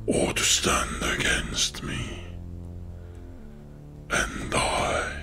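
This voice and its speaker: An ancient villain lord speaks in a gravelly whisper, drawing out each portentous phrase very slowly. He is grandiose and menacing, a cold commander from a horror short, with music behind him. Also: extremely low pitch, exaggerated voice. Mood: threatening. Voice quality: raspy, croaking whisper. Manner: slow, portentous, drawn-out phrases.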